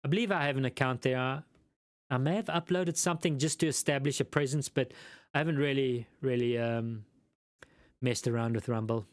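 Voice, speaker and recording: South African male host, smooth resonant voice, rambling and self-deprecating live chat. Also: medium pitch, fairly quick, no room sound. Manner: rambling, self-deprecating, lots of ums and restarts. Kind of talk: casual live chat reading viewer comments.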